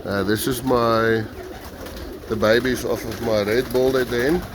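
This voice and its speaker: A South African man, an Afrikaans native speaker, narrates casually in a mid-range voice. He explains as he goes, unscripted, like someone showing his birds on a vlog. There is clear noise behind him, with pigeons cooing. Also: medium pitch, fairly even intonation, a little slow. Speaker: pigeon keeper presenting his own video. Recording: noisy, birds cooing nearby. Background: pigeons cooing, clear background noise.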